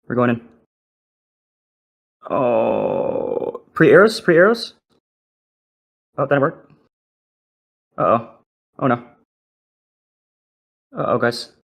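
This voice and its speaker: Creaky, husky young streamer guy. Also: medium pitch, drawn-out words, long pauses. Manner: excitable, profane reactions to the game. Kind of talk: live gaming commentary.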